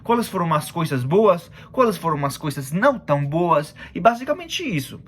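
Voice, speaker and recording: A man's voice: fairly high pitch, big pitch swings, normal speed, a bit bright. A young man, a student, opens a class video with a friendly, informal introduction. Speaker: student presenter.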